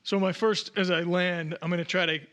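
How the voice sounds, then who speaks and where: A man's voice: high-pitched, level tone, fairly quick, a bit bright. A man playing a tabletop game, casually describing what his character does and reading out rules.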